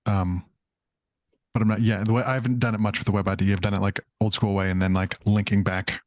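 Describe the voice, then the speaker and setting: A man on a work video call, answering hesitantly with many pauses and hedges. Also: fairly low pitch, little pitch movement, no room sound. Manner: hesitant, hedging. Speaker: colleague answering a question.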